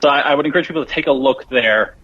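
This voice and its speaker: Husky man on a dull connection. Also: medium pitch, very fast. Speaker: guest, event organizer. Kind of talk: casual informative explanation.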